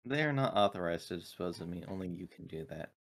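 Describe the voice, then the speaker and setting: A man's voice: low-pitched, animated, fast talker. A man voicing an earnest, protective female android in formal, precise phrasing for a tabletop sci-fi game. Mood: coolly obedient.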